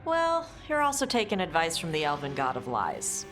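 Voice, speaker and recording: An actress playing a blunt, guarded young dragon hunter in a video game, speaking in terse, casual phrases. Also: female voice, low-pitched, wildly swooping pitch, normal speed. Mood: relaxed. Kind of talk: video game cutscene acting. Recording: music behind the voice.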